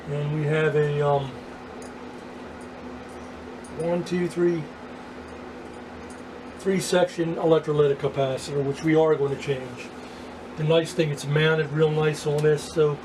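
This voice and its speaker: Halting man, higher-pitched, over loud noise. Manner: halting, pausing mid-sentence. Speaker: vlogger repairing vintage radios. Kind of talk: casual hands-on repair walkthrough.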